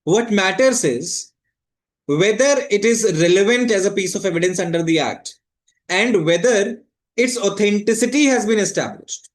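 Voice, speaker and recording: A man's voice: high voice, expressive, moderate pace. A man with an Indian accent lecturing on law in a didactic, explanatory way, repeating phrases for emphasis.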